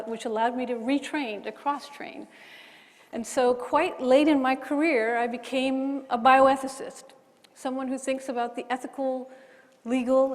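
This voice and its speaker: A middle-aged woman who grew up in Hawaii, with an accent between American and British, telling her story on a forum panel in a candid, self-deprecating way with frequent restarts. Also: fairly high pitch. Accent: mixed American and British. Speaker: bioethicist panelist. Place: large lecture hall. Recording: hall with long reverb.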